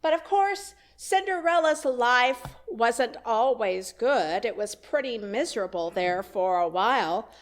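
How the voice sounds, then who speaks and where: A woman minister giving a sermon in a church, telling a story in a conversational way with wry asides. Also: mid-range voice. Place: church sanctuary.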